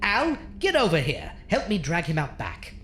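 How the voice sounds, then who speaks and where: A woman's voice: lowish voice, wildly swooping pitch, moderate pace, fairly bright tone, slight background noise. A woman playing a macabre, sardonic horror host doctor in an audio comedy, speaking in a dry, theatrical way. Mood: irritated, scheming. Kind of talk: horror anthology radio comedy.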